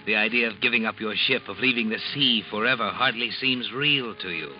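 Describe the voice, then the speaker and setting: An actor playing an ominous, knowing narrator, telling a suspense story in the second person with an insinuating manner, as in old-time radio. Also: male voice, lowish voice, fairly quick, lightly acted. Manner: insinuating, dramatic. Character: ominous, knowing male narrator taunting the guilty protagonist. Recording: muffled vintage radio recording with music. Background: dramatic music.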